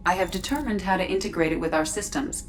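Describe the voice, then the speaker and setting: An actress voicing a calm starship computer that speaks in a precise, even, clipped way. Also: female voice, on the low side, fast talker, soft background music, natural voice. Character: ship's AI, precise, calm, female-voiced. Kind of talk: video game cutscene acting. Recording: game audio with score.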